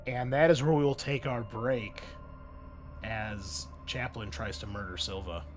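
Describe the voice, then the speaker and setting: A man's voice: fairly low pitch, steady tone, unhurried, soft background music. A man acting as game master, narrating and chatting in an informal, sweary way. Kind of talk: casual tabletop game narration and chat.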